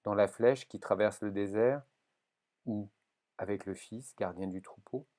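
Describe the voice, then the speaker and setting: A man giving a solemn poetry reading, with measured and deliberate phrasing. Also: lowish voice, warm tone. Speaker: poetry reader.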